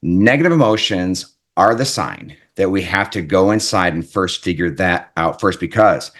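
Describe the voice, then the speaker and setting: A man's voice: low-pitched, expressive, moderate pace, fairly bright tone. A man giving an earnest, reflective self-help talk as an author, often repeating his points and checking in with "right?". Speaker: author and life coach.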